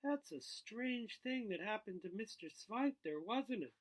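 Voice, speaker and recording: Man putting on a higher-pitched, probing woman teacher. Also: animated. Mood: probing, concerned. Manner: questioning, measured. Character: polite, probing woman schoolteacher, gently concerned.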